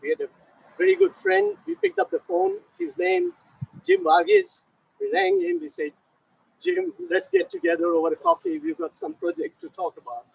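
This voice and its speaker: A man's voice: very high-pitched, fairly even intonation, normal speed, gravelly. A man giving an informal, chatty speech at a ceremony, rambling with many fillers, speaking without a microphone. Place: ceremony at a statue. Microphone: no microphone, far from the recorder. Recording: distant voice, faint background noise.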